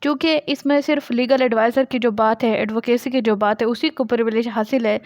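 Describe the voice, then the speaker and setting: Higher-pitched Pakistani woman lecturing. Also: steady tone, fairly quick, a little mellow, no room sound, studio quality. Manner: didactic, repeats points and poses questions to explain them. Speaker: law lecturer. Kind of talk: explanatory lecture, reading legal text aloud and explaining it.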